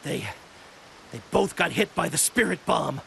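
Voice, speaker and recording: A man's voice: a little high, animated, unhurried, vocal fry, fairly bright tone, exaggerated voice. A man playing an earnest, anxious everyman sidekick with a scratchy voice in an anime game. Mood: shaken. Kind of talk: English anime game dub acting.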